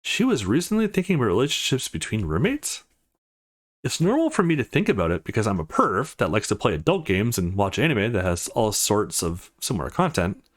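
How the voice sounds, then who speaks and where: A man's voice: lowish voice, very animated, brisk. A man voicing a conflicted, brooding young man, the protagonist of a visual novel, in inner monologue. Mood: flustered, aroused.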